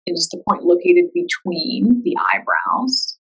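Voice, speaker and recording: A woman guiding a meditation in a calm, soothing, unhurried way, leaving long pauses between instructions. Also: medium pitch, creaky, slightly rough, poor audio. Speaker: yoga nidra meditation guide. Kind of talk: guided meditation.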